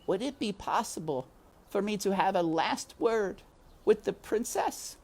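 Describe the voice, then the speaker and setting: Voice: medium pitch, animated, measured pace, a little mellow, voice pushed up. A reader voicing a small, earnest young male mouse with a thin little pleading voice, in a storybook read-aloud. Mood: timid, hopeful.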